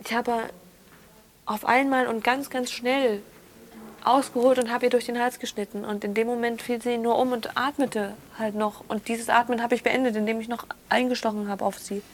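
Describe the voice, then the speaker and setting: An actress playing a detached woman confessing, speaking haltingly and matter-of-factly, with repetitions, in a naturalistic radio drama. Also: on the high side, flat delivery, slight background noise, lightly acted. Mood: detached, insistent.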